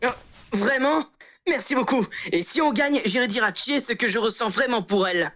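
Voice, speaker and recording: A woman's voice: on the high side, normal speed, fairly bright tone, close to an everyday voice. A woman playing a sincere, friendly teenage boy who loves basketball in an anime fan dub. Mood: excited, hopeful. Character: earnest, sporty teenage boy, basketball player. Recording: music under the voice.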